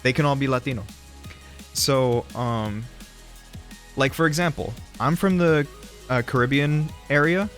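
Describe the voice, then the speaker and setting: A man's voice: mid-range voice, a little slow, long pauses, fairly bright tone. A young man livestreaming, chatting casually with his viewers. He rambles, laughs often and swears freely. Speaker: streamer. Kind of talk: casual livestream chat, reading chat aloud. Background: faint background music.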